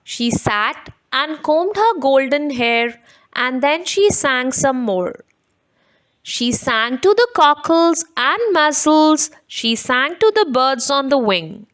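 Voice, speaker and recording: A woman's voice: high voice, animated, slow pace, a bit bright, low-quality recording. A woman reading a rhyming picture book aloud for children. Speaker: children's story reader.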